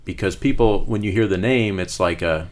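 A man's voice: deep voice, normal speed. A man interviewing in a casual, conversational way, feeling his way into his questions with restarts.

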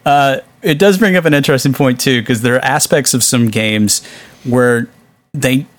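A man hosting a casual podcast discussion of films, talking with animated exasperation and swearing freely. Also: mid-range voice, moderate pace, no echo. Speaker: podcast host.

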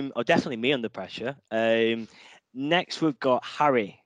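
A man's voice: mid-range voice, moderate pace, dry recording. A male podcast host, upbeat, thanking guests and moving the conversation along. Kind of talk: casual hosting.

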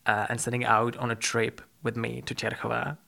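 A man hosting a podcast in a conversational interview style, explaining things haltingly with frequent pauses. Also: mid-range voice, monotone, creaky, bright, forceful tone. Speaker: podcast host.

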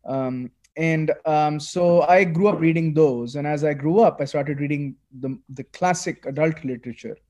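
Man, fairly high voice, talking excitedly in a casual interview. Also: normal speed, hardly any pauses. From Pakistan. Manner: animated, many restarts and fillers. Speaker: guest author.

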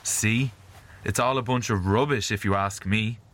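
A man's voice: on the low side, normal speed, a bit bright. A man playing a skeptical, blunt fantasy adventurer in an audio drama. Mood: dismissive.

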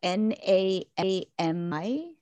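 A woman clinician who works with children and teens speaks in a measured, halting way in a casual interview, with many restarts. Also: mid-range voice, very animated, mellow, dry recording. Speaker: child and adolescent mental health clinician.

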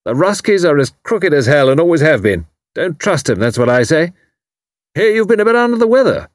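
Loud, barking old English commander. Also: male voice, fairly high pitch, big pitch swings, brisk, no room sound, clean studio sound, pitched above the performer's own voice. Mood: bluff, emphatic. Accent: upper-class English. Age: older. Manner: bluff, emphatic, clipped phrasing. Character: hearty, blustering older British naval commander, bluntly patriotic.

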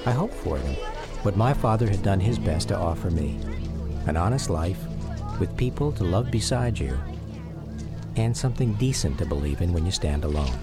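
A man narrating a personal radio drama in a reflective, measured storytelling style. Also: low voice, expressive. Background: music.

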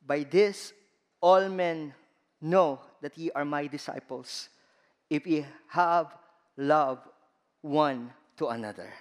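Filipino-accented male preacher, high voice, deliberate pace, big reverberant church. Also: clean tone. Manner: slow, emphatic delivery with rising stresses. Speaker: guest preacher. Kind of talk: sermon. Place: large church hall. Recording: long reverb of a church hall.

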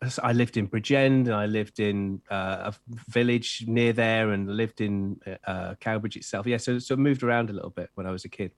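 A man's voice: fairly low pitch, normal speed, few pauses, dry recording, studio quality. A middle-aged man who grew up in Wales, an author chatting enthusiastically in an interview with lots of fillers and restarts. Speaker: children's author and editor. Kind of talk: interview chat.

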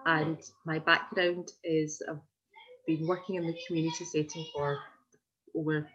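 Low-voiced Glaswegian woman on video call. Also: flat delivery, normal speed, fairly bright tone, a little hiss or hum. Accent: Glaswegian Scottish. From Glasgow, Scotland. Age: 40s. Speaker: interviewee, community development worker. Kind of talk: informal oral history interview. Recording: muffled lo-fi video call audio.

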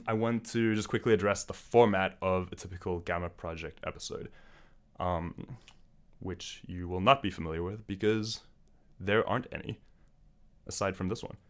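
A young man from South Africa hosting a podcast, delivering an earnest intro monologue with occasional slips and self-corrections. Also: fairly low pitch. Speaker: podcast host.

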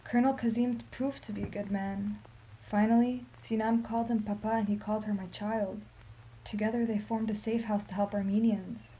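An actress playing a sorrowful, dignified old Armenian woman in a radio play, telling her story in a solemn, measured, emphatic way. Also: mid-range voice, little pitch movement, dark tone, close to an everyday voice, low-quality recording. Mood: solemn remembrance.

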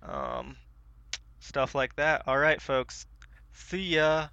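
A man's voice: fairly high pitch, very slow, vocal fry, sharp tone, smooth, dry recording. A man co-hosting a podcast in loose, conversational chat, on a slightly dull close recording. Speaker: podcast co-host. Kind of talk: casual chat about video games.

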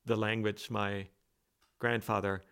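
Hesitant gravelly-voiced man with roots. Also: lowish voice, flat delivery, a little slow, fairly bright tone. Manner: hesitant, with many restarts and fillers. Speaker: author and guest. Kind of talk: reflective interview talk.

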